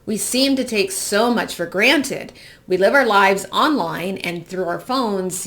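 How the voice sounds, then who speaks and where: Lower-pitched American woman in her forties. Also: a bit bright. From US Midwest. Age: 40s. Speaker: host. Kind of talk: personal reflective monologue.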